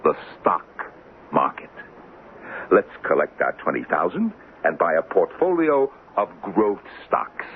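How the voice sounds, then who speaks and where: A devil who bargains like a grand, teasing hustler. The voice sits lowish and swoops theatrically. It comes through a thin, tinny old radio recording with a light hiss. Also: male voice, huge pitch swings, a little slow, heavily acted. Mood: gloating, expansive. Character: the devil as a sly, boastful deal-making hustler. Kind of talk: radio drama acting.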